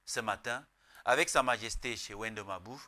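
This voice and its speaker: A man hosting a programme, delivering a scripted introduction in a solemn, formal manner with deliberate phrasing. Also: fairly low pitch, pushed and bright. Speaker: programme host.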